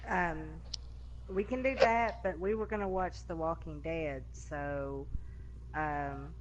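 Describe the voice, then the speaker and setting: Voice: mid-range voice, fairly even intonation, slow, highs cut off. An adult friend chatting in an easygoing, agreeable way over party chat. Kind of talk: casual chat.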